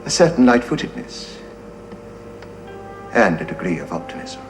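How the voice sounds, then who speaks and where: An actor playing a soft-spoken male war veteran in a radio drama, dry and politely ironic. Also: low voice, sing-song, normal speed. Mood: wry. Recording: music playing underneath.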